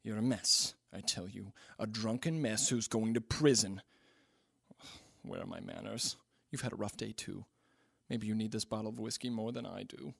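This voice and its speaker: A man's voice: lowish voice, normal speed, creaky, a bit bright, breathy edge, no echo, studio quality, full character voice. A man playing a hapless, hard-drinking scientist-inventor in an audio drama, ranting with exasperated disbelief. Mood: drunken, despondent.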